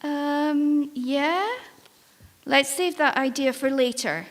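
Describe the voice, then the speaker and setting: A woman's voice: high-pitched, slow. A woman playing a world-weary, protective ship's crew member in a live staged drama, wry and reassuring as she coaxes. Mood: urgent. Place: live on stage in an arts venue. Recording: reverberant hall, live stage performance.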